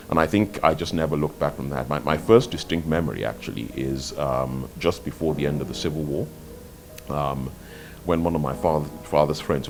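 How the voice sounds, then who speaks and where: An older man from Nigeria, an author answering interview questions in a measured, articulate way, often repeating words as he thinks. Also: extremely low pitch. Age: 50s or older. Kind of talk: reflective interview talk.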